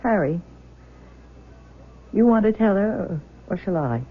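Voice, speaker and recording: An actress playing an anguished, tender older adoptive mother in a 1970s radio drama, halting and repeating her words. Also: mid-range voice, animated, a little hiss or hum, lightly acted. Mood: reluctant, gentle. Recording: dull lo-fi old radio broadcast.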